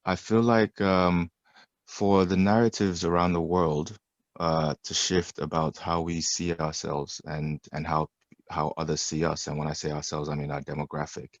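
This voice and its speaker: A man taking part in a workshop, speaking in a measured, earnest way with some fillers. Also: a bass voice, level tone, no echo. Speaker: workshop participant. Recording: dull lo-fi online call audio.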